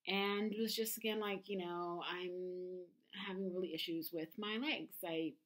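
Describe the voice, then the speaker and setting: A woman in her thirties talks candidly to her camera at home, pausing often with ums and restarting sentences. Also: on the low side, steady tone. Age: 30s. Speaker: vlogger. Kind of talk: personal confessional vlog.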